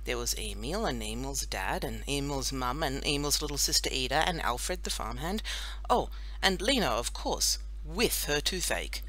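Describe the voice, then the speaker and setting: Bright, lively woman reading a children's story. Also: rumbling low, low-quality recording. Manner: expressive, deliberate storytelling. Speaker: audiobook reader. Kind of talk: scripted children's story narration.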